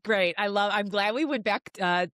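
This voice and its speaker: Female podcast co-host, mid-pitched, playful and joking, recorded dry for casual comedy banter. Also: normal speed, a bit bright, smooth, no echo. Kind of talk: casual comedy chat, riffing.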